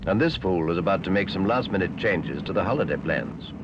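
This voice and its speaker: A man's voice: deep voice, animated, brisk. A man delivering scripted cautionary narration in a blunt, scornful manner for a public information film. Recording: muffled, very noisy old film soundtrack.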